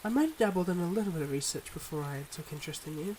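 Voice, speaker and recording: A man playing a devoted but guarded mob boss boyfriend in an intimate ASMR roleplay, speaking in earnest, measured confessions. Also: on the high side, lively intonation, warm tone, slight background noise, lightly acted, poor audio. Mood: tender.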